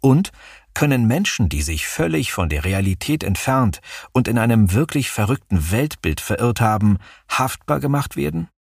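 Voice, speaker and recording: A man co-hosting a true-crime podcast, narrating a court case in a measured, scripted way. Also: mid-range voice, big pitch swings, gravelly, husky, no room sound, clean studio sound. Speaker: podcast co-host.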